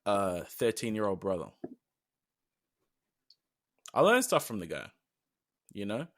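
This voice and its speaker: A man's voice: lowish voice, big pitch swings, takes it slowly. A young British man co-hosting a podcast, talking in an earnest, reflective way with long trailing phrases. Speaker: podcast co-host. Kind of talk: casual podcast chat.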